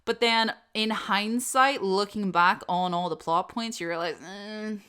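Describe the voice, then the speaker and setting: A woman host answering questions in a casual, opinionated and animated chat, swearing freely. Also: mid-range voice, measured pace, clear, resonant tone. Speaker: host of an audio channel.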